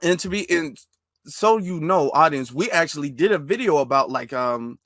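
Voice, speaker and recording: Higher-voiced man, dry close sound. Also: animated, normal speed, a bit bright, no room sound. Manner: conversational, emphatic, with frequent restarts. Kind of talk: casual discussion.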